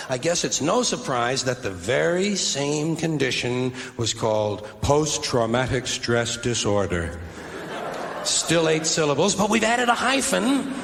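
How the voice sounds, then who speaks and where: A stand-up comedian, a man, delivering a biting, deliberate routine on a theater stage. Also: a little high, creaky, fairly bright tone. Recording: echoey hall, faint hiss.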